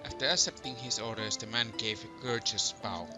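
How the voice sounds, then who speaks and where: A man's voice: fairly low pitch, steady tone, fairly quick. A man reading scripted story narration for an amateur game fandub. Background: loud background music.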